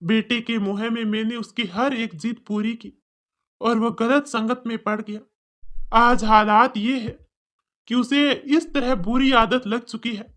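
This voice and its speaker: A woman's voice: a little high, steady tone, measured pace, voice pushed down, natural voice. A woman voicing a humble, regretful older father in a cartoon story, speaking earnestly and respectfully. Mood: sorrowful.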